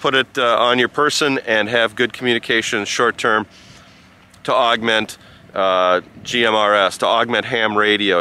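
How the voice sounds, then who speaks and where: A man giving a casual vlog-style review outdoors in a halting, rambling way. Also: on the low side, bright, forceful tone, smooth. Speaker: product reviewer and amateur radio operator. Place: outdoors by a rural road.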